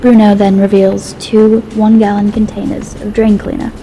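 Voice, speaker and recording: A woman's voice: mid-range voice, level tone, moderate pace, creaky. A woman giving scripted narration for a horror story as its narrator. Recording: music behind the voice.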